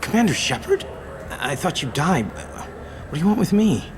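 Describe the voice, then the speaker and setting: An actor playing a frightened young street informant in a video game, jittery and stammering. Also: male voice, a little high, very animated, normal speed, background noise. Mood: panicked, pleading.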